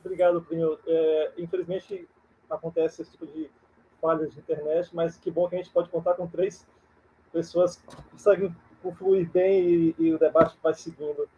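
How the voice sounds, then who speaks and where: A man moderating an online film panel over a video call, speaking in a measured, halting way. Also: a little high, little pitch movement, mellow, muffled recording, a little hiss or hum. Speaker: moderator, film curator and journalist.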